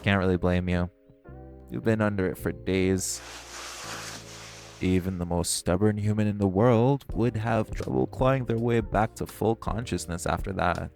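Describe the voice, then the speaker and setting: A man playing a smug, hungry, flirtatious vampire in an audio roleplay, speaking with teasing theatrical flair in a gravelly voice. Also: on the low side, moderate pace, a little mellow, soft background music. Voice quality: gravelly, creaky voice. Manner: teasing, theatrical, swearing, sighing. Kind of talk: audio roleplay monologue to the listener.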